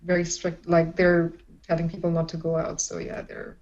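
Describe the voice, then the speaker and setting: Young woman comic from Pakistan; casual, giggly chat; lower pitch; muffled lo-fi recording. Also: completely flat delivery, moderate pace, a little mellow. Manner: chatty, laughs often, many restarts. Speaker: stand-up comedian. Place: at home in isolation.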